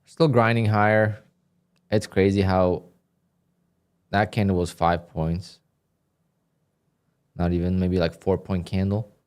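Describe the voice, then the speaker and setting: A man with a very low voice talks his viewers through a live trading session. His delivery is flat and dry, and it sounds a touch irritated even when he says he is pleased. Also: takes it slowly, pauses often, smooth. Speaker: day trader livestreamer. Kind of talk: live stream commentary, reading donations.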